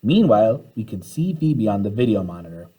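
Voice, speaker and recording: A man reading a children's story aloud with expressive, dramatic narration. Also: lowish voice, a little fast, slightly rough, poor audio. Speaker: story reader.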